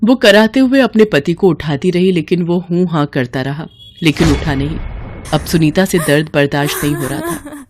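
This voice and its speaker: A woman's voice: deep voice, sing-song, moderate pace, noisy background. A woman storyteller delivering scripted, dramatic narration of a moral tale.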